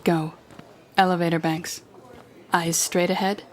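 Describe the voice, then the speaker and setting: A woman's voice: fairly low pitch, flat delivery, unhurried, a little hiss or hum, close to an everyday voice. A woman playing a cold, commanding telepathic villain who taunts a captive hero in an intimate audio roleplay. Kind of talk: intimate second-person audio roleplay acting.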